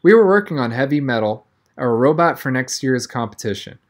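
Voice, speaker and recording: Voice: mid-range voice, lively intonation, a little fast. A reader narrating a first-person children's novel aloud in a conversational manner, as an audiobook.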